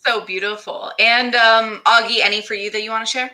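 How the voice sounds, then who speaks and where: A woman's voice: a little high, steady tone, brisk, sharp tone, poor audio. A woman hosting a casual, conversational interview in a gushing, encouraging way. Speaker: interview host.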